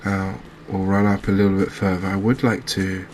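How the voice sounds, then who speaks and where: A man with a low voice and very level intonation talks through a game in a cozy ASMR style. He takes his time, thinking aloud and leaving pauses. Light ambient noise sits behind the voice. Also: little pitch movement, a little mellow. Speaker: gaming video host. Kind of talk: unscripted, quiet gameplay commentary in a cozy ASMR vein.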